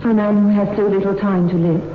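A woman's voice: mid-range voice, level tone, fast, phone-quality sound, lightly acted. An actress playing a bold, determined young woman, defiant and pointed, in a 1960s radio suspense drama. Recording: thin, roomy, hissy old radio.

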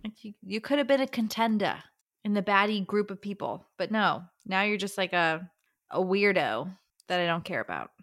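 A woman's voice: mid-range voice, animated, normal speed, fairly bright tone. A woman co-hosting a podcast, chatting casually in a playful way with dramatic reactions. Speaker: podcast co-host. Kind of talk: casual chat about books.

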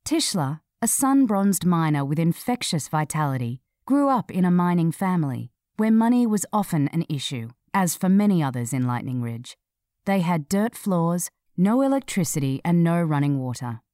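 A woman narrating a scripted feature article in a measured, clearly read delivery. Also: on the low side, big pitch swings, dark tone, no room sound, clean studio sound.

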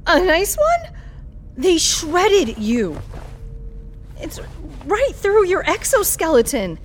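Slow, swooping, sardonic woman fixer. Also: very high voice, a little hiss or hum, full character voice. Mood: shocked. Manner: sardonic, unhurried, expressive. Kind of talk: audio fiction acting, noir sci-fi.

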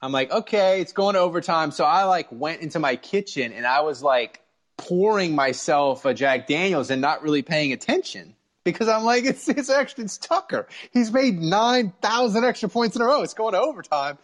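A man's voice: high-pitched, expressive, normal speed, a bit bright. A man hosting casual sports talk, telling stories in a rambling, repetitive way and acting out reactions. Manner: rambling, repeats words, acts out remembered reactions, swears. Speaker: sports talk show host. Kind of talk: casual sports chat and storytelling.

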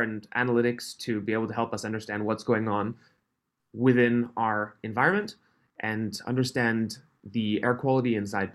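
A man in his thirties, raised in Switzerland, with a mixed, faintly Canadian accent, chatting as a podcast guest, friendly and quick to laugh. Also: mid-range voice. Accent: mixed, Canadian-tinged. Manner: friendly, laughs often, restarts phrases. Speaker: podcast guest, company founder. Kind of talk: casual interview chat.

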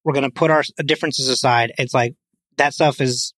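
A man's voice: mid-range voice, fairly even intonation, brisk, a bit bright, no echo, clean studio sound. A man in a casual film discussion on a podcast, talking informally and peppering his sentences with "like". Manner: informal, hedging with frequent "like". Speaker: podcast co-host.

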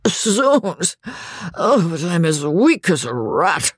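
A man voicing a languid, foppish English gentleman, weak but good-humoured, with a sleepy affected British drawl in a storybook. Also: fairly high pitch, sing-song, slow, no room sound, clean studio sound, heavily acted. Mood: weary cursing. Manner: affected, amused, lazily understated.

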